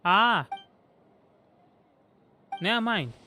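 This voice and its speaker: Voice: medium pitch, lively intonation, extremely slow, bright, forceful tone, slight background noise. A livestreamer in casual gameplay chat, rambling to themselves and to viewers. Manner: rambling, self-talking, addresses viewers. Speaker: livestreamer playing a video game. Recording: game music behind.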